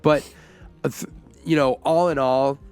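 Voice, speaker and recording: A man's voice: mid-range voice, steady tone, a little slow, darkish tone, clear, resonant tone, faint music behind. A man co-hosting a casual film discussion, talking in a conversational, rambling way and often acting out imagined lines. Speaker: podcast co-host reviewing a film.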